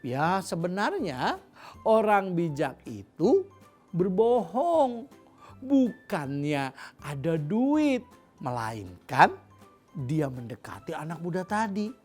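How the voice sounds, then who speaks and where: Expressive, low-voiced Indonesian woman storyteller. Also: takes it slowly, slightly rough. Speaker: children's storyteller. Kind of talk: expressive fairy-tale narration for children. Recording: music behind the voice. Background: music.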